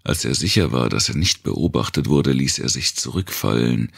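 A man narrating a dark thriller audiobook in a gravelly, husky voice, measured and grave. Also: very deep voice, lively intonation, no room sound, studio quality.

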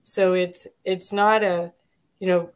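A middle-aged woman hosting an interview over a video call, speaking warmly with halting restarts. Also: lowish voice, flat delivery. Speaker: host. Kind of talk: casual interview talk.

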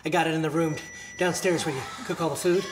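A man's voice: on the high side, steady tone, fast, echoey room, heavy background noise. A middle-aged man playing a goofy, clueless father in a comedy sketch at home. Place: home hallway by bathroom door.

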